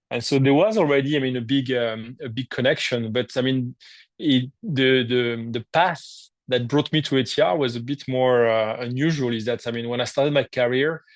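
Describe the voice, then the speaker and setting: Man, raised in Africa, podcast guest, hesitant, lots of fillers, mid pitch, dry sound. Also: no room sound. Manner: hesitant, many fillers and restarts, searching for words. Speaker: guest, aviation executive. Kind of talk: podcast interview.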